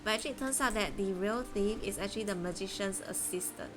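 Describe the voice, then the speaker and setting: A woman's voice: medium pitch, fast, over music. A woman chatting casually as she livestreams a game, rambling and hesitant as she explains things. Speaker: streamer playing a story game.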